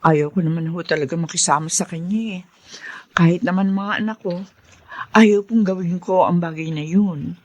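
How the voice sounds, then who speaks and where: A woman playing a gentle, long-suffering mother in a Filipino radio drama, tender and emotional. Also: fairly low pitch, moderate pace, dull audio, close to an everyday voice. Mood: humble.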